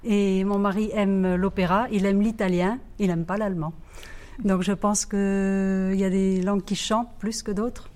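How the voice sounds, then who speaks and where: A woman from France speaking in a thoughtful, measured way in a group discussion about languages. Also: medium pitch, flat delivery, mellow, a little hiss or hum. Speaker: workshop participant. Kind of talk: reflective group talk.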